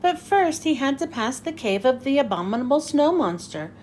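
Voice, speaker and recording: A woman reading a children's storybook aloud in an expressive, animated, emphatic way. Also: sits high, moderate pace, slight background noise. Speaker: children's book reader.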